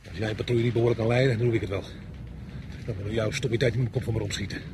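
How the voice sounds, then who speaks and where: An actor playing an exasperated soldier on patrol in a radio drama, speaking urgently and bluntly. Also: male voice, fairly low pitch, steady tone, racing, noisy background, lightly acted. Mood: angry. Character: tense, exasperated male soldier on night patrol.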